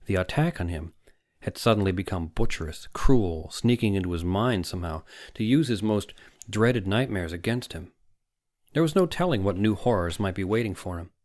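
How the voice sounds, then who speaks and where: A man narrating an audiobook story in a dramatic, suspenseful manner with deliberate phrasing. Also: sits low, darkish tone, no echo, studio quality. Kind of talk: dramatic scripted fiction narration.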